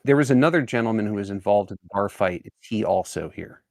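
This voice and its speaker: Guy player in a tabletop rpg, lowish voice, formal deliberate wording, dry sound. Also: expressive, no room sound. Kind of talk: in-character roleplay chat.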